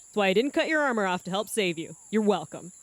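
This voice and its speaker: A woman's voice: mid-range voice, animated, fast talker. A woman playing a tabletop game in character, making wry, offhand quips. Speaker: player.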